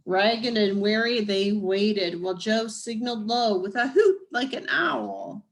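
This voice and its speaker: A woman reading a children's picture book aloud as scripted narration, in deliberate, measured phrases with dramatic pauses. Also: medium pitch. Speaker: storybook reader.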